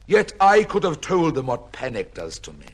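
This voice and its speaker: A desperate, upright judge accused of a crime in an old radio play. His voice is husky and swings widely in pitch, with formal, emphatic diction and an anguished, defensive edge. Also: wildly swooping pitch, normal speed, exaggerated voice. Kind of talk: old-time radio drama acting.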